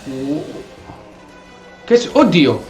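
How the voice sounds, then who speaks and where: An Italian man giving casual, excitable let's play commentary on a video game and answering the characters in role. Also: a little high, slow, soft background music. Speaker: gaming video host. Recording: roomy echo, faint background noise.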